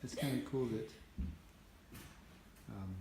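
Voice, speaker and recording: A man's voice: mid-range voice, takes it slowly, vocal fry. A man asking questions and musing casually in conversation with others in a room. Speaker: interviewer. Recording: roomy echo, faint background noise.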